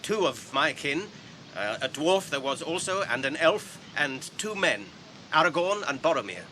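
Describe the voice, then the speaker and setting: An English actor playing an earnest, courteous, guarded hobbit traveller in a radio drama, speaking in a measured, formal way. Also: male voice, fairly high pitch, lively intonation, sharp tone, slight background noise. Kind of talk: BBC radio drama acting.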